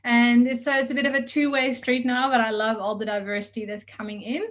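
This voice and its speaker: A woman's voice: fairly high pitch, little pitch movement, a little fast, a bit bright. A woman with a mixed Dutch and South African accent, talking earnestly as a coach on an interview podcast, with frequent ums and restarts. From Netherlands. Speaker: results coach guest.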